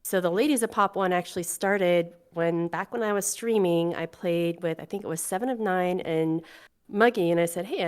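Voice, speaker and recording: A woman's voice: on the low side, darkish tone. A woman speaking as a podcast guest, telling stories in casual conversation. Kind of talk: casual chat and storytelling.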